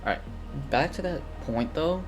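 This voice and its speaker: A man's voice: fairly high pitch, expressive, normal speed, music in the background. A man giving casual game commentary, thinking aloud in a rambling, sweary way as a streamer.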